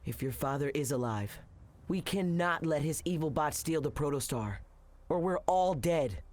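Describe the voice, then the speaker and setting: Man acting a lively, cocky teenage alien boy. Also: a little high, normal speed, close to an everyday voice. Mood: serious, urgent. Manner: cocky, bantering. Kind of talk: animated video game acting.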